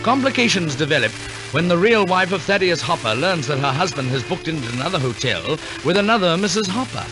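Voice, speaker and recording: A man's voice: fairly high pitch, sing-song, brisk, low-quality recording. A man narrating a scripted story in the formal, theatrical style of a 1940s radio announcer, on a vintage recording. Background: orchestral music.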